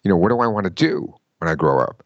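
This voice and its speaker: A man giving career advice in an interview, emphatic and lively, often quoting people in mock voices. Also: low-pitched, fast talker, vocal fry, dry recording, lo-fi sound. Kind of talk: conversational interview.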